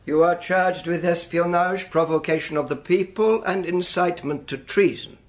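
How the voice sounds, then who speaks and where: An actor playing a shrewd, worldly spy handler in a radio drama, with a husky, breathy voice and a measured, theatrical, commanding manner. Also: male voice, high voice, no echo. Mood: stern, commanding.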